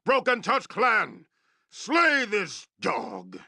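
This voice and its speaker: Orc chieftain, game villain, wildly swinging pitch, very hard forceful growl, blunt broken English, proud, menacing. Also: male voice, huge pitch swings, extremely slow, no echo, studio quality, exaggerated voice. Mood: roaring, furious. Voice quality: gravelly growl. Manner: broken, blunt English with barked war cries. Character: brutish orc chieftain, savage, threatening, proud warlord. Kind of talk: fantasy video game character acting.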